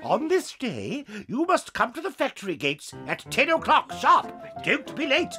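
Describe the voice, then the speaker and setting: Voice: high-pitched, huge pitch swings, measured pace, pushed and bright, soft background music, full character voice. A performer playing a whimsical, eccentric male chocolate-maker in an audio drama, speaking with theatrical flourishes and sudden swoops. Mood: grand, playful.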